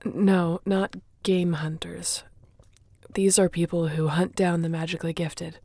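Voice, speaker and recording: A woman's voice: on the low side, flat delivery, unhurried, warm tone, no echo. A woman acting as a guarded, irritable young shifter tracker in an audio roleplay, curt and dryly sarcastic. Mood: alarmed, grim.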